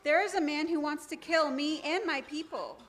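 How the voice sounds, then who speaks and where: Very high, earnest puppet queen, played by a woman. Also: normal speed, natural voice. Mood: pleading. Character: brave pleading young queen puppet. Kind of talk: live puppet show acting.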